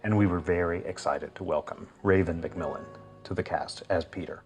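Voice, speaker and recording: A man with a deep voice who speaks in a measured, informative manner in a documentary segment. Faint music plays under him. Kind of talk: documentary interview.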